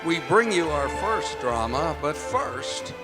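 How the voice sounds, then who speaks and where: Loud music runs behind a fairly high, very animated man. He announces in formal old-time radio style, projecting sponsor copy and show introductions. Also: slow. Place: performing arts center auditorium, live stage.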